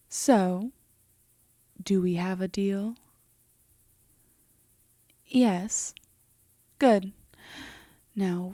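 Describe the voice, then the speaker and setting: A woman playing a composed, teasing, possessive female demon in a roleplay audio drama, speaking in a measured, softly haughty way that slips into whispers. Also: medium pitch, no echo, studio quality. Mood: possessive, commanding. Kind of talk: roleplay audio drama, intimate slow delivery.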